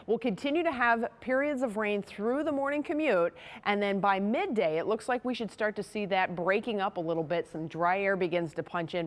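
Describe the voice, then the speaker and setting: An American woman giving a conversational TV weather forecast in a chatty, folksy manner. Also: medium pitch.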